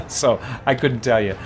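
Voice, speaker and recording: A man streaming a game, chatting casually and musing aloud as he plays. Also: mid-range voice. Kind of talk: casual live gameplay commentary. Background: game music.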